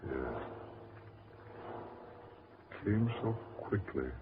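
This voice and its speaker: An actor playing a grave, ominous man, speaking deliberately and portentously in 1940s radio horror style. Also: very deep voice, very animated, heavily acted. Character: grave, ominous man brooding on dark forces. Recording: tinny, noisy 1940s radio recording.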